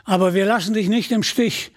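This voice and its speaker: Ein Mann spricht in einem Hörbuch einen trotzigen, entschlossenen jungen Geächteten. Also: male voice, sits high, steady tone, a little fast, natural voice. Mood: resolute. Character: Robin, defiant young male outlaw hero. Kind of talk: dramatised audiobook narration.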